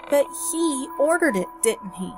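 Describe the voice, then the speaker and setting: A woman's voice: high-pitched, very animated, a little slow, pitched above the performer's own voice, exaggerated voice. An earnest young storybook boy, played by a female reader, brave and concerned. His questions come out urgent and direct in a raised voice, with music behind. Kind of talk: audiobook character voice.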